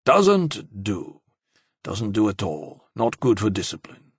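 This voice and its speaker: A man's voice: on the low side, big pitch swings, takes it slowly, slightly rough, no room sound, heavily acted. A man voicing a fussy, pompous male university president in an audiobook, testy and scolding. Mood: disapproving. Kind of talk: audiobook character acting.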